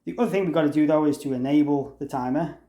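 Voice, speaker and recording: A man with a slightly high-pitched voice presents an informal software demonstration. He explains each step conversationally, thinking aloud without a script. The sound is roomy, with clear echo around his voice. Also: fast talker. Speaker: demonstrator presenting a product tutorial. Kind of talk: informal software walkthrough, unscripted. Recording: roomy, echoey room sound.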